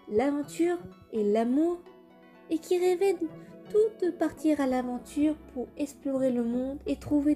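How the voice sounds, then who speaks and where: A woman's voice: high voice, warm tone. A woman voicing an earnest young boy presenting a puppet show in a French comic dub, with storybook-like delivery.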